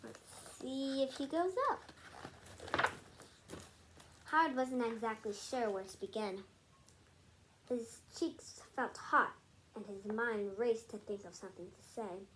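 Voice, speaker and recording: High-pitched female reader, halting, faint noise. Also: a bit bright, low-quality recording. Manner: halting, stumbles and rereads words. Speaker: read-aloud storyteller. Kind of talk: reading a picture book aloud with casual asides. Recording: home recording with background noise.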